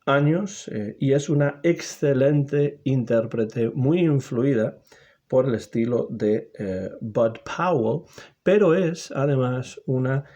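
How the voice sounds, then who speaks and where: A man giving a measured, unscripted commentary on a jazz musician, pausing often with hesitant fillers. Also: mid-range voice, mellow.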